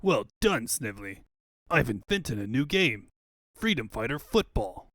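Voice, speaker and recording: A man's voice: mid-range voice, big pitch swings, a little slow, a bit bright, dry recording, clean studio sound. A man voicing a vain, pompous cartoon mad-scientist tyrant, grandiose and given to bellowed orders. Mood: gloating.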